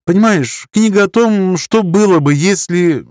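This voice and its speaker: A man's voice: high voice, unhurried, a little mellow, no room sound, studio quality, voice pushed up. A man voicing an anxious, defensive woman of nearly thirty in an audiobook, pleading and justifying herself. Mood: flustered, explaining. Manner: pleading, self-justifying, wordy. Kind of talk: audiobook character dialogue.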